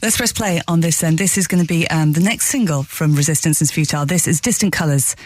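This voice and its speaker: Fast-talking woman radio host. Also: low voice, a little mellow, no echo. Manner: brisk, friendly back-channel "mm"s. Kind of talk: radio show interviewing and introducing songs. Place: radio studio.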